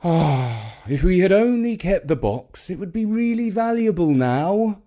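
A man voicing a meek, mild-mannered middle-aged son in a children's storybook, soft-spoken and dutiful with a plaintive note. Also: high-pitched, very animated, measured pace, voice pushed up. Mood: wistful.

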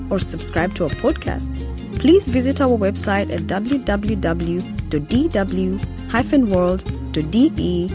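A woman reading a scripted announcement as the presenter of a radio series. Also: medium pitch. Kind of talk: scripted narration. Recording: music behind the voice.

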